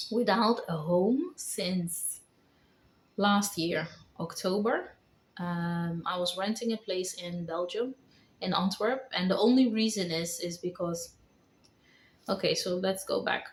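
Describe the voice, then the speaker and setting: Woman vlogger, light Dutch accent, lowish voice, halting and earnest, echoey room. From Netherlands. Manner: earnest, halting, with many pauses and fillers. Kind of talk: personal storytelling vlog.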